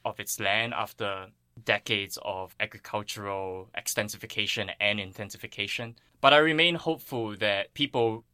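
Bright-voiced man explaining, fairly low pitch. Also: moderate pace, smooth, no room sound. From Singapore. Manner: earnest, thoughtful explaining. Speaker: interviewed plant ecologist. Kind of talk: explanatory interview answers.

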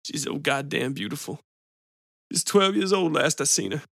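A man voicing a bitter, weepy man with a smoker's rasp who pleads and breaks into tears. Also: on the high side, big pitch swings, normal speed, a bit bright, no room sound, clean studio sound, exaggerated voice. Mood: tearful.

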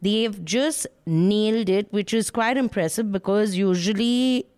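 A woman with an Indian English accent co-hosting a casual podcast, animated and quick to laugh. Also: mid-range voice, smooth. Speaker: podcast co-host. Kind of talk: casual chat.

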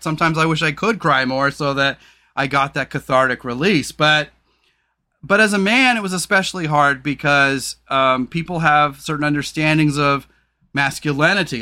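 A man hosting a casual podcast conversation, talking frankly and swearing freely, rambling with lots of fillers. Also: bright, forceful tone. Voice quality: deep voice. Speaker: podcast host.

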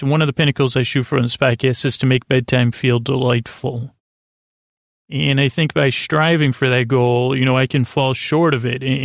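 A man with a creaky, fry-edged voice, recorded dry on a close mic, talks listeners toward sleep. His pitch stays fairly even as he wanders, stalls and restarts, reassuring them without any pressure. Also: no room sound. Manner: rambling, halting, self-interrupting, trailing into tangents. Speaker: podcast host. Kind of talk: meandering, unscripted bedtime podcast monologue.

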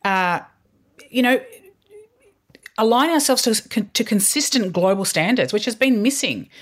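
A woman's voice: on the high side, lively intonation, unhurried. A middle-aged woman, a communications leader, telling stories as a podcast guest in an earnest way with frequent restarts. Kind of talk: podcast interview, candid storytelling.

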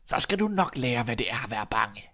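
A man's voice: mid-range voice, sing-song, sharp tone. A man voicing a self-assured village sexton in a fairy tale, confident and matter-of-fact.

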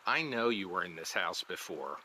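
An actor playing a desperate, guilty neighbor in an audio drama, speaking calmly and ominously. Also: male voice, moderate pace, a little hiss or hum, full character voice, rough recording. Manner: calm, low, ominous then apologetic. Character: desperate guilty middle-aged man, Jim.

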